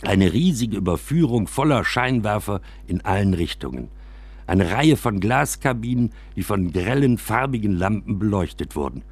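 Low husky guy wry storyteller telling his childhood for a radio play, really animated. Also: moderate pace, dry recording, exaggerated voice. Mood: wry, expressive. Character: man recounting his railway-side childhood with wry exaggeration. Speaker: narrator. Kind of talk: scripted first-person radio play narration, storytelling.

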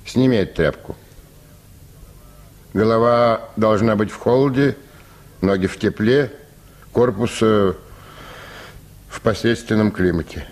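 An actor playing a brusque, self-important provincial medical orderly in a radio play, giving curt orders with thoughtful hemming pauses. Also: male voice, on the low side, a little mellow, lightly acted. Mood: matter-of-fact, instructing. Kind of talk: Russian literary radio play acting.

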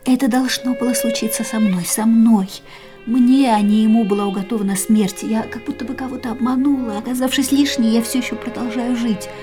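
An actress in a radio play, voicing a grieving young woman in a quiet, stunned inner monologue that often drops to a whisper. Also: a little high, steady tone, brisk, over music, natural voice.

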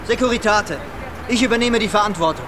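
An actor playing a nervous, reluctant hostage forced to obey in a radio drama, edgy and blurting. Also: male voice, high-pitched, fast, a crowd in the background, background noise, natural voice. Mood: alarmed. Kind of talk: German radio drama acting.

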